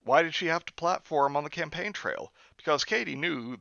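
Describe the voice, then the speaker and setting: Male host reading a book on stream, sardonic asides, bright tone, slightly dull lo-fi mic. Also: on the high side, expressive, normal speed, creaky, no room sound. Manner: sarcastic asides between read passages.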